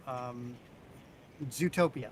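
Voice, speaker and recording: A man's voice: mid-range voice, slow pace, slight background noise. An American man chatting casually in a group conversation. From United States.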